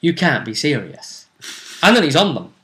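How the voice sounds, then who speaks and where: A man hosting a tabletop roleplay vidcast, recapping fights in a casual but dramatic storytelling way with emphatic pauses. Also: a little high, big pitch swings, a bit bright.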